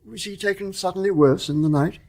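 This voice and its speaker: An actor playing a kindly, flustered older English major in a period radio drama, halting and repeating his words. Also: high voice, darkish tone. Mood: shocked, kindly. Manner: halting, stammering repetitions. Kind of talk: stage-play radio drama acting.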